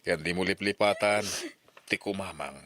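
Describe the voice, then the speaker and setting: Deep, earnest protective brother acted by a man. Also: normal speed, background noise, close to an everyday voice. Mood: solemn, consoling. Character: earnest protective older brother, solemnly counselling. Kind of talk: old radio drama acting in Ilocano.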